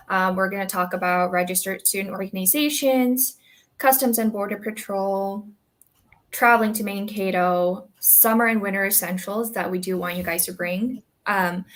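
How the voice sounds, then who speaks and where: A woman runs an online student orientation over a video call. She talks casually, with lots of "um"s, and moves things along in a plain, easygoing way. Her voice sits at an ordinary pitch. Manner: casual, filler-heavy, friendly organizer. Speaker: graduate assistant host of an online student session.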